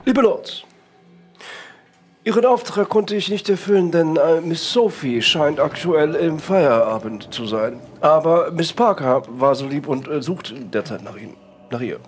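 A man playing a deferential, discreet butler with a formal, halting, servile manner in an audio drama. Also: on the high side, faint music behind, lightly acted. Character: deferential, discreet male butler reporting to lords. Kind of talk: improvised pen-and-paper audio drama acting.

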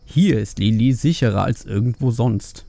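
A man's voice: mid-range voice, lively intonation, normal speed, gravelly, dark tone, no echo. A man voicing an angry, stubborn, overprotective middle-aged pandaren father in a fantasy audiobook, vehement, snappish and scornful. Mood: stern, insistent.

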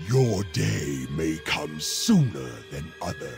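A man's voice: extremely low pitch, very animated, takes it slowly, creaky, a little mellow, heavily acted. An actor playing a stern, angry orc warchief in a video game, contemptuous and declamatory, threatening as he speaks. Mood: menacing. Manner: menacing, declamatory. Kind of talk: dramatic video game acting. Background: music.

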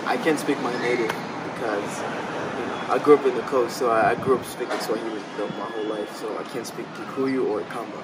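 A young man from Kenya talking in a relaxed, slangy way as an interview guest, seated on a sunny terrace. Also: medium pitch, fast talker. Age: young adult. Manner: laid-back, slangy, mixing in Swahili. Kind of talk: casual chat. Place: outdoor terrace, sunny day. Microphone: camera mic from a few meters away. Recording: distant outdoor recording, loud noise.

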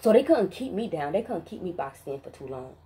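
A woman vlogger in a spiritual rant monologue, emphatic and profane, stressing single words with long pauses. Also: lowish voice, big pitch swings, dark tone, slightly rough.

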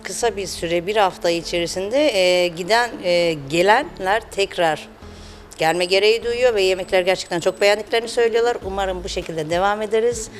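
A woman answering as a small business owner in an unscripted interview, with hesitant, halting phrasing. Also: mid-range voice. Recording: music behind the voice. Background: background music.

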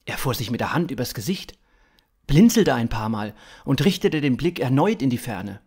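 A man's voice: medium pitch, very animated, normal speed, darkish tone, breathy edge. A man in his thirties reading scripted science fiction narration for a story podcast. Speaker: author-narrator.

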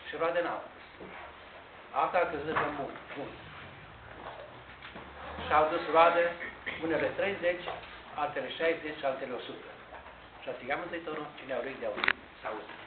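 An older man, a priest, preaching a sermon in a measured, explanatory way with pauses and restarts, recorded by a far camera inside a large church. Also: on the high side. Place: large Orthodox church interior, indoors. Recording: tinny, echoey church recording with hiss.

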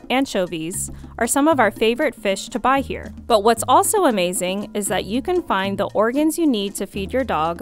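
A woman giving scripted voice-over narration for her vlog, in a clear, informative, upbeat manner. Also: mid-range voice. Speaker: vlog host. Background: faint background music.

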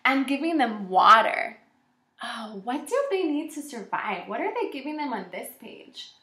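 Female kindergarten teacher, twenties, forceful bright tone, encouraging read-aloud delivery, recorded in an echoey room. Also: fairly high pitch, a little slow. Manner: encouraging, animated, addressing young children. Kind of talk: children's read-aloud lesson. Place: small indoor room with shelves and whiteboard.

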